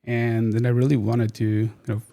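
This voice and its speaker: A man's voice: medium pitch, flat delivery, normal speed, vocal fry, mellow. A man in his thirties from Portugal, a guest speaking casually and hesitantly with many fillers and restarts. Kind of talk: casual interview chat.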